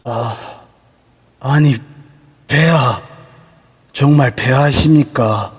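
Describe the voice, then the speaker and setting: A man voicing the vengeful ghost of an old court noble, speaking slowly in deferential archaic speech. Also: medium pitch, husky, heavily acted. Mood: pleading. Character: vengeful ghost of a Joseon noble, torn and grieving. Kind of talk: Korean fan audio drama acting. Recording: echoey long reverb.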